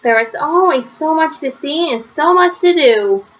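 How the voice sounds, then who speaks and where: A woman's voice: sits high, lively intonation, unhurried. A woman reading a children's story aloud as a storyteller. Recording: slightly dull, faintly noisy recording.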